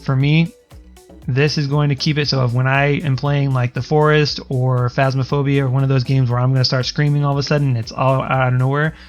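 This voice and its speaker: Guy with a higher pitched voice rambling thru a streaming audio tutorial, lots of ums, soft music going behind him. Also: level tone, a little fast. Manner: rambling, loose, many ums and restarts. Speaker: streamer explaining audio settings. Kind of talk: casual screen-recorded tutorial.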